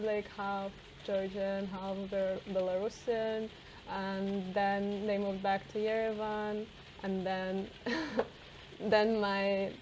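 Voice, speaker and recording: A woman's voice: mid-range voice, darkish tone, slight background noise, poor audio. A woman who grew up in Siberia, a native speaker of Russian and Armenian, chatting casually in a hesitant way with many fillers and restarts. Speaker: co-host. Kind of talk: casual conversation.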